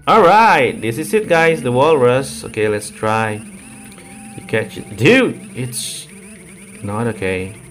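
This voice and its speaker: A man with an Indonesian accent talks through a game in an unhurried, slow way. His commentary is casual and informal, aimed straight at viewers, and music plays behind his mid-pitched voice. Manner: informal, addresses viewers as "guys". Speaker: gaming video creator. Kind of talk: casual gameplay commentary, mixing two languages. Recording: voice over background game music.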